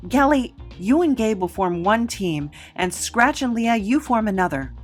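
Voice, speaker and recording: A woman's voice: on the high side, expressive, measured pace, soft background music. A woman playing a sarcastic, take-charge reluctant hero in a comic audio drama. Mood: brisk. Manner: sarcastic, urgent.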